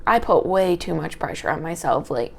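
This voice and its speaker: A woman's voice: fairly low pitch, expressive, moderate pace, vocal fry. Rambling young woman with a small voice. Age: young adult. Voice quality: small, light voice. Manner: rambling, trips over words. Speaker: vlogger, history student. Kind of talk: unedited personal vlog. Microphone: small handheld microphone.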